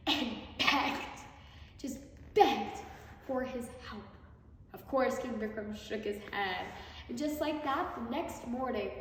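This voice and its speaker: Slow, high-voiced woman storyteller in a hall. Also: darkish tone. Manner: theatrical, deliberate pauses. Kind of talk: dramatic live folk tale storytelling. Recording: very echoey hall, far mic, noisy.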